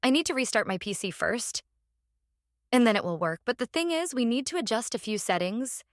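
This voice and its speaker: A woman who speaks English as a second language hosts a step-by-step software tutorial in a halting, repetitive instructional way. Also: on the high side, expressive, no echo, studio quality. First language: non-native English speaker. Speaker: tutorial host.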